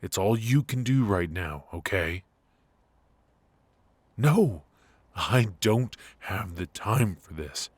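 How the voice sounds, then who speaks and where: A man playing a cocky but affectionate secret agent in an intimate noir audio roleplay, with halting, teasing delivery. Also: lowish voice, expressive, breathy edge, no room sound, full character voice. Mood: urgent, tender. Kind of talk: intimate ASMR roleplay voice acting.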